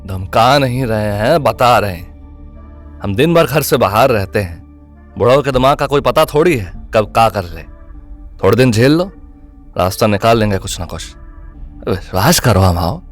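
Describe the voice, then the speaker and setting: An actor playing a cocky, scheming young student leader, blunt and slangy, in an audio drama. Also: male voice, fairly low pitch, lively intonation, moderate pace, pauses often, close to an everyday voice. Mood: menacing. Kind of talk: Hindi audio drama acting. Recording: music bed behind the voice.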